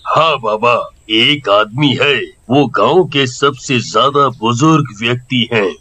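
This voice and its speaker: A respectful village headman in a horror audio story acted by a man. He talks very slowly at an ordinary male pitch, earnest and deferential. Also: sharp tone. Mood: helpful.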